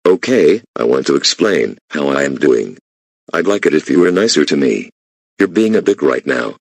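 A man's voice: sits low, sing-song, normal speed, creaky, husky, no echo, full character voice. A man voicing a stressed, defiant employee who whines and complains. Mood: defensive. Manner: whining, complaining. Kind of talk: amateur voice acting.

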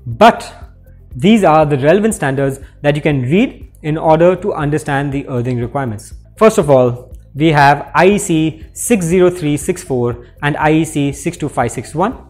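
A man in his thirties presenting a scripted technical explainer in a workshop, speaking with steady, clear delivery. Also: fairly high pitch. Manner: clear, steady teaching delivery with hand gestures. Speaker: presenter for an electrical equipment maker. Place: indoor workshop with electrical panels.

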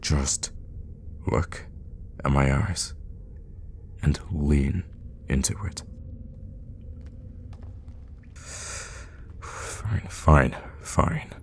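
A man acts out a sadistic old vampire in an ASMR audio roleplay. His voice is extremely low and gravelly, sinking into breathy whispers. He speaks very slowly, teasing and menacingly tender, in a long reverb. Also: fairly even intonation, full character voice. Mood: menacing, impatient. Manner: slow, hushed, menacingly tender with pet names. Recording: long reverb, faint background noise.

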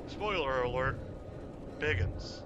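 A man's voice: mid-range voice, animated, takes it slowly, lo-fi sound. A man giving casual, joking commentary over a space flight game. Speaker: gameplay commentator. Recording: loud game noise behind the voice.

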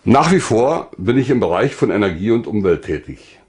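An older man speaks as an author presenting his work. His voice sits fairly low, and the pitch moves around a lot as he reads his prepared text. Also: big pitch swings, normal speed, a little mellow. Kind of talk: scripted self-presentation, reading.

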